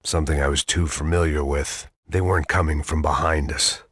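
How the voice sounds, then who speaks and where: A worn-out middle-aged ex-cop narrates a video game in a deadpan, bitter drawl. His voice sits very deep, and the studio sound is close and dry with no room around it. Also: brisk, slightly rough, dry recording, full character voice. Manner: deadpan, bitter, self-mocking.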